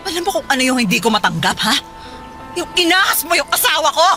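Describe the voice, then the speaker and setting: Shrill, piercingly high jilted Filipino wife. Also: female voice, big pitch swings, fast talker, sharp tone, exaggerated voice. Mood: furious, defiant. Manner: shrill, accusing, argumentative. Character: abandoned wife, obsessive, jealous, confrontational and bitter. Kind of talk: Filipino radio drama acting. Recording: music behind.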